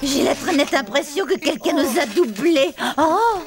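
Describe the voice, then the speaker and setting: A high, lively cartoon vampire mother speaks over loud music. She is sensible and wry, the level-headed one of the family. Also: a little slow. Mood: curious. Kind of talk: cartoon dub acting.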